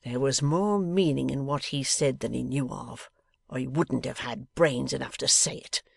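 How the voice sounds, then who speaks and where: A man's voice: on the high side, steady tone, unhurried, fairly bright tone, no echo, studio quality. A man voicing a tense schoolmaster in a novel, intense and impatient, with rage held barely in check. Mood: concentrated rage. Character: tense, haggard schoolmaster seething with repressed rage. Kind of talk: dramatised novel reading.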